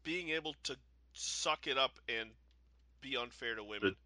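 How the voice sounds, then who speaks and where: A man in loose podcast chat with a very bright, forward voice. He rambles and circles back, stacking "like" and half-finished thoughts. The sound is dry and close. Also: mid-range voice, moderate pace, dry recording. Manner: rambling, full of "like", trails off and restarts. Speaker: podcast co-host. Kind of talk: casual chat about a TV show.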